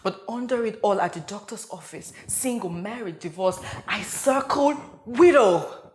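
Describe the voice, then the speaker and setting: A woman's voice: medium pitch, animated, a little slow, close to an everyday voice. A woman performing a dramatic comedic monologue as a frazzled young wife and mother who rambles and interrupts herself in a meltdown. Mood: weary, shaken. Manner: rambling, flustered, self-interrupting. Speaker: actor performing an audition monologue.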